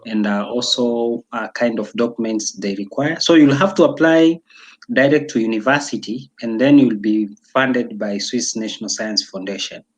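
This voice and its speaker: A young man from Tanzania talking casually as an interview guest, pausing often with fillers and restarts. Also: medium pitch, steady tone, rough recording. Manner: hesitant, many fillers and restarts.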